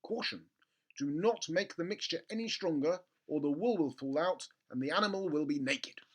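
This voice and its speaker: A man voicing a pompous storybook medicine label, declaiming it slowly and formally. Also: on the high side, natural voice. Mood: warning. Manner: slow, deliberate, declaiming.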